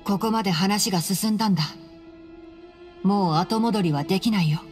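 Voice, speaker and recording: A woman voicing a gentle, clever young male fox-eared ranger in an anime game, calm and assured. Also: mid-range voice, moderate pace, natural voice. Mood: calm encouragement. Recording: reverberant hall with background music.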